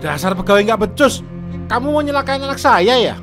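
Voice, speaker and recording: A man's voice: extremely high pitch, big pitch swings, fairly quick, music in the background, full character voice. A man voicing an arrogant, stingy middle-aged husband who owns a food stall and bullies his workers. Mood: furious. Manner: blustering, indignant, shouting. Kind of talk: cartoon drama voice acting.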